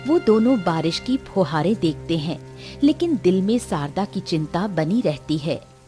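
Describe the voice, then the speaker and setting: A woman giving scripted narration for an animated moral story. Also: on the low side, measured pace, faint music behind.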